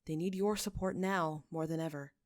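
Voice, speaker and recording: A woman voicing a gentle young male religious leader in a story, soothing and reassuring. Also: low-pitched, fairly even intonation, moderate pace, lightly acted.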